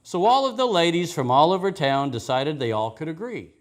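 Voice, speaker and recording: A man with a fairly high voice reads a children's story in rhyme. His delivery is expressive and rhythmic, with very animated pitch that rises and falls as a storyteller's does. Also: brisk.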